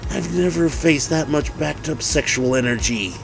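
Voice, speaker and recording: A man's voice: fairly high pitch, moderate pace, vocal fry, over music, pitched above the performer's own voice, rough recording. Man voicing a sardonic ancient female demon seductress. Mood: pained, groaning. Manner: sardonic, grumbling, matter-of-fact.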